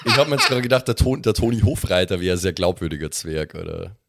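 A man's voice: low voice, expressive, quick pace, gravelly, heavy background noise. A man chatting casually as he improvises as a player in a tabletop role-playing game. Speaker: player in an improvised pen-and-paper audio play.

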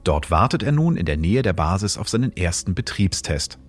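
A man hosting and narrating scripted science explanations in a calm, measured way. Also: low voice, soft background music.

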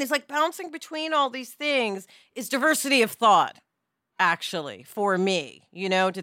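A woman's voice: medium pitch, bright, forceful tone, clear, resonant tone, no room sound, clean studio sound. A woman co-hosting a podcast in casual, reflective discussion, talking candidly with digressions and questions to herself. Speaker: podcast co-host.

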